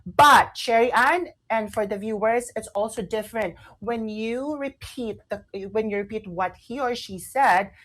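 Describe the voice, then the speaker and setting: A woman's voice: on the high side, unhurried, few pauses, a bit bright, rough recording. A woman with a Filipino accent co-hosting a radio talk show in casual chat, explaining emphatically and often repeating herself for stress.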